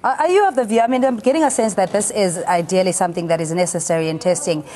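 A woman in her thirties with a South African accent, hosting a studio current affairs panel with halting, searching questions. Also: lowish voice, mellow. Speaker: TV panel host.

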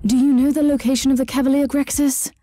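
A woman's voice: high voice, flat delivery, quick pace, warm tone, soft background music, lightly acted. A woman acting a stern, commanding female Inquisitor. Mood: cool, formal. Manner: commanding, curt, formal. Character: stern zealous female Inquisitor, battle sister, commanding and unforgiving. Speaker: video game lead character. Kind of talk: video game voice acting.

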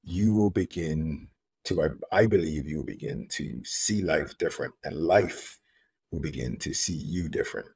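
A very low-voiced man from Bermuda speaks earnestly and deliberately, leaning on repeated phrases to drive a point home. He is a guest on a video call from a room at home. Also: darkish tone, husky. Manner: earnest, measured, repeats key phrases for emphasis. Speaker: guest, homeless advocate. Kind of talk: reflective interview talk. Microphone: video call, laptop camera.

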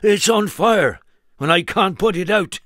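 A man playing a flustered farmer, anxious, pleading, raised pitch, dry recording. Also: sing-song, a little slow, dry recording. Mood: worried. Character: worried, flustered grown-up male farmer. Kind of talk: storybook character voice.

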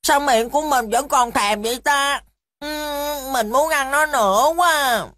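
A woman's voice: high-pitched, a little slow, a bit bright, slight background noise. A woman voicing a mischievous, cheeky little boy of about five in a cartoon dub, drawling as if talking to himself. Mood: hungry, scheming. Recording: music behind the voice.

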